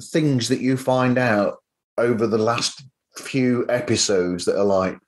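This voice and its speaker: A man's voice: medium pitch, a little slow. A man hosting a podcast, chatting casually as he interviews his guests. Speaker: podcast host.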